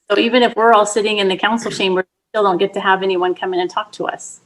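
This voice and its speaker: Soft-voiced lady mayor, direct and plain, running the meeting, middle pitch. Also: fairly even intonation, quick pace, rough recording. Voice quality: soft voice that does not carry. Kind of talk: chairing a council meeting.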